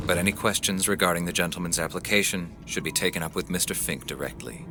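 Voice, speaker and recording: A man's voice: low voice, fast talker, over music, lightly acted. An actor playing a polite, officious company spokesman in a video game, formal and unctuous in his phrasing. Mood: politely dismissive. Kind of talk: video game character acting.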